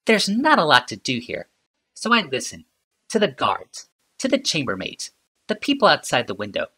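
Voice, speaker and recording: A man voicing a sardonic female inventor in an audiobook, calm and mocking in tone. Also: a little high, normal speed, breathy edge, voice pushed up, exaggerated voice. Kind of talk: audiobook character reading.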